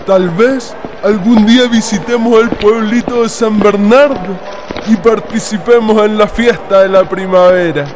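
A man's voice: sits high, fairly even intonation, a little slow, noisy background. A man playing an idealistic young writer in a radio drama, declaiming floridly. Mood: poetic, admiring. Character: idealistic, poetic young male writer founding a colony. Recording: music behind the voice.

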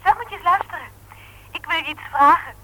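An actress playing a chatty, persuasive secretary in a Dutch radio play, with a roundabout, coaxing manner. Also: female voice, extremely high pitch, animated, brisk, pushed and bright, slight background noise, heavily acted, low-quality recording.

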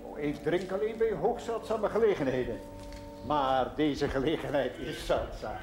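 An actor playing a learned, genial village schoolmaster, formal and gently teasing, in a television period drama. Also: male voice, fairly high pitch, moderate pace, over music, lightly acted. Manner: formal, dryly witty. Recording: noisy old TV soundtrack.

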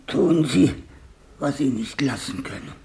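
An actor playing a fearful, resigned old English lord with a thin, quavering voice in a 1950s German radio play. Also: male voice, mid-range voice, animated, a little slow, a little mellow. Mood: meek resignation. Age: older. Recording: old radio recording, faint hiss.

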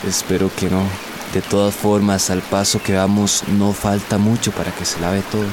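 Practical, exasperated flood survivor, played by a man: wary, low-leaning register, Central American Spanish accent, rushing water behind, radio drama. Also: flat delivery, close to an everyday voice. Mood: worried, exasperated. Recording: rain and rushing stream noise behind.

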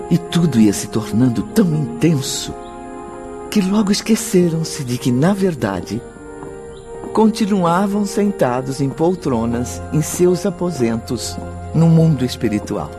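A man narrating a scripted radio drama in a dramatic, measured manner. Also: fairly high pitch, sing-song. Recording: music behind the voice.

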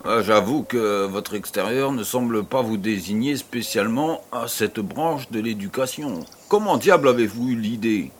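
A man's voice: fairly low pitch, lively intonation, normal speed, hardly any pauses, slight background noise, lightly acted. A man playing a genial, curious aristocratic baron in an audio drama, urbane and a little condescending. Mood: polite doubt.

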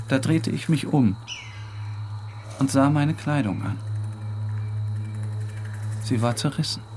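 A man playing a tormented, half-mad painter in a dramatic literary narration, speaking with slow, halting intensity. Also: medium pitch, darkish tone. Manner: slow, halting, intense, dropping at times to whispers.

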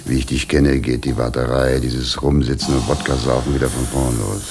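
An actor playing a world-weary, hard-drinking fake hotel manager in a radio play, dry and sardonic. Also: male voice, extremely low pitch, fairly even intonation, moderate pace. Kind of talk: German radio play acting. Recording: studio radio play with music behind.

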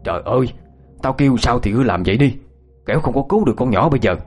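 Gruff old country healer guy done by a male storyteller, bossy but kind, husky voice. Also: medium pitch, lively intonation, quick pace, soft background music. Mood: urgent, bossy. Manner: folksy, commanding, scolding elder. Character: older village healer, gruff, urgent but kindly.

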